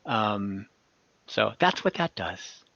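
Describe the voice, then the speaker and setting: Bright-voiced man running a fantasy game. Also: on the low side, big pitch swings, creaky, no room sound. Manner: halting, improvised delivery. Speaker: game master. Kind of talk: narrating an online tabletop fantasy game. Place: indoors at home, on a video call. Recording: slightly dull online call audio.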